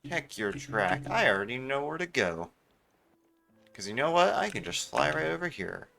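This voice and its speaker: A man's voice: medium pitch, sing-song, normal speed, creaky, sharp tone. A man giving casual, offhand live commentary as he streams a game, often talking to himself. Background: game music.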